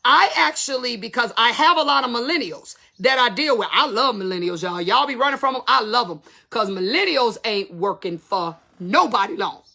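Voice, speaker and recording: A woman's voice: on the high side, lively intonation, normal speed, gravelly, hardly any pauses, sharp tone. A woman of fifty giving blunt, emphatic salon business advice in a casual, colloquial way. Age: 50s. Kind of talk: casual talk to camera.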